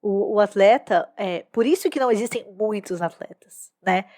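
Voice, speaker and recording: A woman's voice: mid-range voice, expressive, moderate pace, a little mellow, no echo. Dry-sounding female podcast co-host. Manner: reactive, laughing along, amazed. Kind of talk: casual chat.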